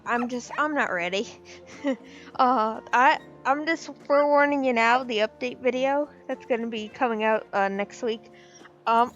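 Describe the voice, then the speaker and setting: A woman giving casual, excitable game commentary, rambling with fillers, her voice slightly off from the weather. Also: fairly high pitch, dull audio. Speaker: game video creator.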